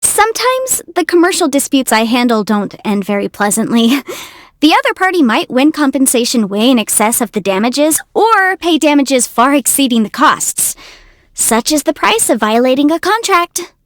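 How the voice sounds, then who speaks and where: A woman voicing a young, quick-witted half-immortal legal adviser in a video game, articulate, self-assured and playful. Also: sits high, sing-song, no echo, clean studio sound. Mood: firm, earnest. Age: young adult. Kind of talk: video game character voice lines.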